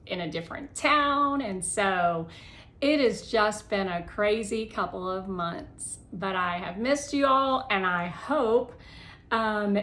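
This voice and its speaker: A woman narrating a children's story as a read-aloud host, in an expressive way, acting out the dialogue. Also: medium pitch, takes it slowly. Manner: animated, acts out dialogue.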